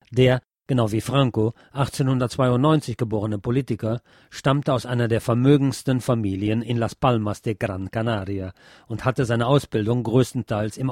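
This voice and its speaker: A man's voice: mid-range voice, darkish tone, no room sound. Dry, close-recorded man reading history aloud. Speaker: podcast host and reader of a history book. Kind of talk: scripted narration, reading a history book aloud.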